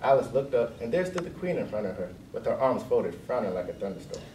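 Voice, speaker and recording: Male storybook narrator, fast, mellow delivery, recorded at a distance with some noise. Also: medium pitch, very animated. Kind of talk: scripted storybook narration on stage. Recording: echoey room, distant mic, noisy.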